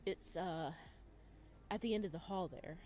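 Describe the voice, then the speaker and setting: A woman voicing a bashful, hospitable young man in a visual novel, gentle and a little hesitant. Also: fairly low pitch, unhurried, slight background noise, close to an everyday voice. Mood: bashful, offering.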